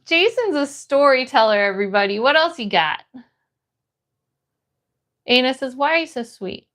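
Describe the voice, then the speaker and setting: A woman's voice: high-pitched, fairly bright tone. An American woman hosting a livestream in a casual, friendly and encouraging way, chatting with viewers and reading comments aloud. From United States. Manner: friendly, encouraging, greets people by name. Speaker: livestream host.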